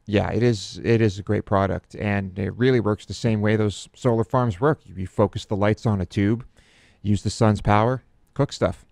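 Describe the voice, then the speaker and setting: Young male sidekick, easygoing short replies, low gravelly tone, dry studio sound. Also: fairly even intonation, moderate pace, darkish tone, dry recording. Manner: laid-back, brief answers. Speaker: co-host. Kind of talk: casual chat. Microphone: headset with studio mic.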